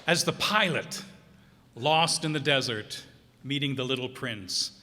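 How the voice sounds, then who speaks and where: A man's voice: medium pitch, a little slow. A man acting as master of ceremonies, introducing a cast in a live curtain-call announcement. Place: live performance hall. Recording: echoey hall with noticeable noise.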